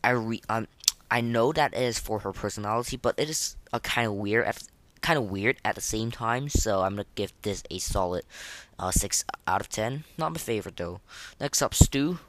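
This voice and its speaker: A podcast host chatting casually and rating things, rambling and halting, often repeating words and phrases. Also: medium pitch, slight background noise. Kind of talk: casual chat rating game voice lines.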